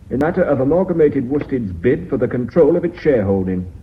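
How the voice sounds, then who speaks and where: An actor playing a blunt, combative company director in a radio drama, forceful and impatient. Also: male voice, medium pitch, lightly acted. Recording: tinny, noisy old radio recording.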